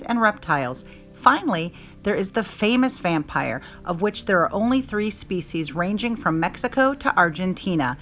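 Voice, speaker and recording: Woman, storybook reader, medium pitch, lively intonation, scripted children's narration, music underneath.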